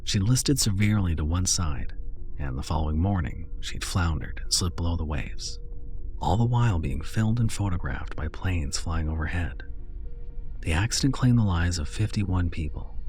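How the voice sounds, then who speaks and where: A man tells a first-person deep-sea horror story in a measured, steady delivery. His voice is very low. Quiet music plays under the narration. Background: soft background music.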